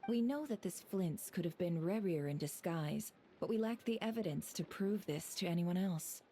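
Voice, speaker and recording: A woman voicing a composed, analytical female investigator in a video game, speaking in a measured, deliberate, formal way. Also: on the low side, animated, dark tone, a little hiss or hum, lightly acted. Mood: pensive.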